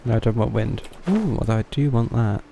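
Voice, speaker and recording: Male streamer: relaxed, gravelly edge, chatting casually over gameplay with faint music. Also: mid-range voice, brisk. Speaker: game streamer. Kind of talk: casual live gameplay commentary.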